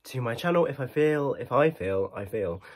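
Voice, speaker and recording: A man's voice: mid-range voice, expressive, normal speed. Rambling, stuffy-nosed young man. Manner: rambling, chatty, lots of ums and self-corrections. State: stuffy-nosed from hay fever. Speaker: shopping vlogger. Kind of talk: casual chatty vlog. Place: living room at home, then a clothing store.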